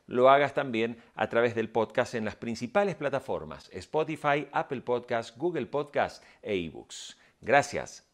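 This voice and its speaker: A middle-aged man presenting a podcast and interviewing guests. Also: mid-range voice.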